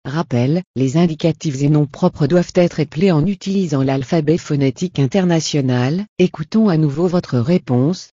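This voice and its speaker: A man's voice: fairly high pitch, normal speed, few pauses, dark tone, no room sound. A man giving scripted instructional narration as the teacher of a lesson. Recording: dull, muffled, dry voice-over.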